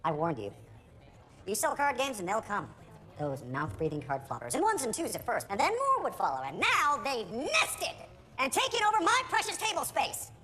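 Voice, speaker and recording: A woman's voice: medium pitch, huge pitch swings, fairly quick, a bit bright, faint music behind. A woman playing a sarcastic, short-tempered tabletop gamer who rants theatrically in a comedy film. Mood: exasperated. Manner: sardonic, theatrical ranting. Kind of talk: scripted comedy film acting.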